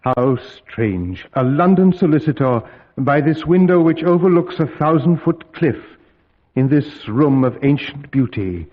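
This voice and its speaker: Earnest young solicitor, high-pitched, measured, theatrical gothic radio acting, tinny vintage recording. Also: male voice, lively intonation. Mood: musing, uneasy. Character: earnest young male English solicitor, spellbound and uneasy. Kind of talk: old-time gothic radio acting, diary narration. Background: music.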